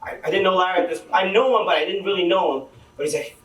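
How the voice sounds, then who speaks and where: Young man, higher-pitched, chatty storyteller, distant mic in an echoey hall. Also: quick pace, a bit bright. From Lowell, Massachusetts. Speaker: event speaker, teacher. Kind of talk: casual speech to an audience. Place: event hall.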